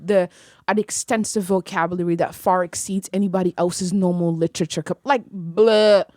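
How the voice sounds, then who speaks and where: A woman's voice: lowish voice, steady tone, moderate pace, dark tone, no echo. Poetic young woman in a dry studio. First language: Arabic. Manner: vivid, poetic and reflective, laughs easily. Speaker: poet and podcast guest. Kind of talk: casual conversation. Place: small studio room. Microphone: studio mic on stand, headphones.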